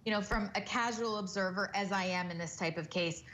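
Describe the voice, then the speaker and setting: A woman's voice: medium pitch, a little fast, fairly bright tone, slight background noise. A woman in her forties, a family law attorney joining a TV show from a home study, speaking frankly and conversationally. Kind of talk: TV legal commentary. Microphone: video call.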